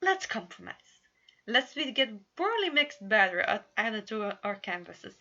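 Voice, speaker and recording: A theatrical young prince put on by a woman reading a story speaks in a bright voice. He is grandiose and playfully boastful. The home recording sounds slightly dull. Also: mid-range voice, big pitch swings, unhurried, full character voice.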